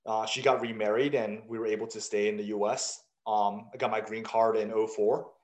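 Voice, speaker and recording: A man's voice: on the low side, little pitch movement, a little fast. A man in his thirties who grew up in South Africa, a startup founder telling his story in a casual, candid way, self-deprecating and full of quoted back-and-forth. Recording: roomy echo.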